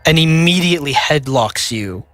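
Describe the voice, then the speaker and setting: A young man with a nasal voice, narrating a tabletop game as game master, halting and filling pauses with "uh" and "like". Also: fairly high pitch, animated, sharp tone, soft background music. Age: young adult.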